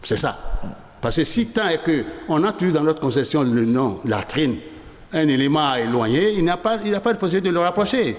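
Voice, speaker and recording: A man's voice: a little high, very animated, brisk, reverberant, big-room sound. A man being interviewed in a studio, arguing insistently and lecturing, with frequent restarts. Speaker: studio guest, sanitation advocate.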